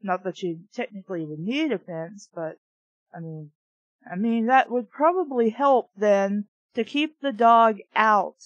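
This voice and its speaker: A woman's voice: medium pitch, lively intonation, measured pace. A woman reading a storybook aloud and breaking off into snarky, exasperated, profane commentary. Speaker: reader and book reviewer. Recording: dull, echoey room recording.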